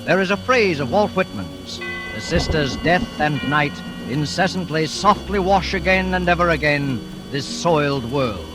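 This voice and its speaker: A man's voice: a little high, lively intonation, unhurried, poor audio. A man hosting a 1940s radio drama, reading scripted introductions in an ominous, formal, theatrical way. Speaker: radio drama announcer and host. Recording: vintage radio recording with music behind.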